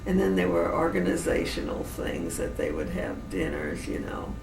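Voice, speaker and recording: Elderly woman, gravelly voice, lively pitch, slow meandering speech, roomy noisy distant recording. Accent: General American. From New Orleans, USA. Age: older. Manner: slow, meandering, with many restarts. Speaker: interviewee, great-grandmother. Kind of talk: reminiscing interview.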